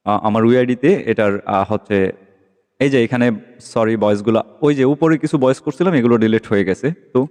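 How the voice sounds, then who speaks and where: A man's voice: medium pitch, brisk, a little mellow. Man giving a tutorial in a reverberant room. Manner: repeatedly checks in with listeners while explaining step by step. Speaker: tech tutorial host. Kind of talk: casual screen-recorded app walkthrough. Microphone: phone microphone during screen recording. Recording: long echoing reverb.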